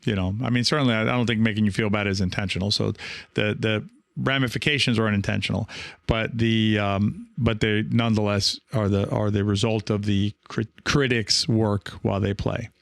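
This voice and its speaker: A man's voice: fairly low pitch, steady tone, brisk, creaky, dry recording, clean studio sound. A man hosting a coaching podcast in a casual monologue, speaking in a hesitant, rambling way as he thinks aloud. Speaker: podcast host and pickleball coach.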